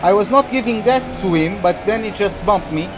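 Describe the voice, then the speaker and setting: Guy streaming a race game, chatty and excitable, hissy noisy mic. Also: high-pitched, normal speed. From Portugal. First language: Portuguese. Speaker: gaming streamer. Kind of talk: live game commentary.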